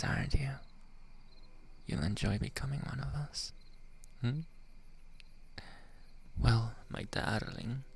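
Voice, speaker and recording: Slow, gravelly man playing a flirty werewolf. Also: medium pitch, exaggerated voice. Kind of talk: ASMR roleplay monologue.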